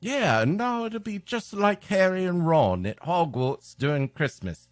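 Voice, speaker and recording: A man's voice: high voice, sing-song, measured pace, dry recording, studio quality, soft background music. A man co-hosting a comedy podcast with sardonic, wisecracking banter. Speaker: podcast co-host, interrupting commentator. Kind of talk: comic banter.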